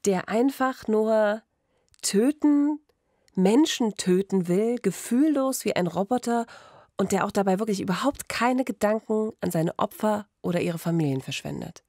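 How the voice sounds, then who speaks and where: A woman's voice: medium pitch, expressive, a little slow, dark tone, no room sound. A woman in her thirties hosting a true-crime podcast conversationally at a studio microphone. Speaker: podcast host. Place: small radio studio. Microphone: studio microphone with pop filter, headphones.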